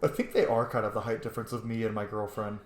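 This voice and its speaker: A man's voice: lowish voice, flat delivery, fast talker, slight background noise. A young man giving casual, enthusiastic commentary on a game he is playing, chatty and exuberant. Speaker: gaming streamer. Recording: echoey room, faint game music. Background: faint game music.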